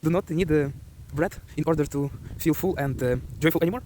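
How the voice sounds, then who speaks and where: Earnest Ukrainian-accented young man, higher voice. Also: a little fast, warm tone, slight background noise. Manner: earnest, loose, hesitant. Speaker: vlogger. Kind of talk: motivational monologue.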